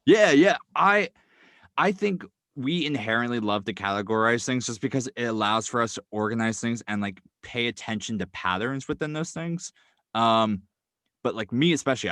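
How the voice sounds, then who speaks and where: A young man, a guest writer, speaking casually and quickly with many fillers like "um" and "like.". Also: lowish voice, fairly bright tone, no echo, studio quality. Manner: quick, filler-heavy, thoughtful. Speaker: guest, audio drama writer. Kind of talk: casual interview talk.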